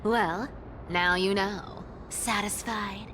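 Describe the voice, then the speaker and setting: A woman's voice: medium pitch, fairly even intonation, slow pace, noisy background, natural voice. An actress playing a cunning, flamboyant, treasure-loving boss lady in a video game, theatrical and bossy with a playful streak. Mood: testy, menacing.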